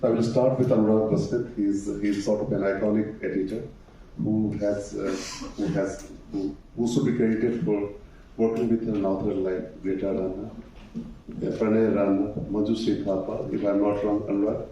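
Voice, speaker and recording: A man's voice: lowish voice, little pitch movement, normal speed, mellow, muffled recording. A man moderating a panel discussion, speaking formally with some hesitation. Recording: echoey hall from far off, faint noise.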